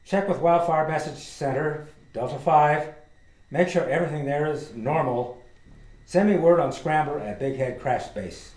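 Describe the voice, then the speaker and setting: A man's voice: a little high, measured pace, darkish tone, natural voice. Army major, male actor: commanding, terse, slightly raised register, roomy radio drama sound. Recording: echoey room.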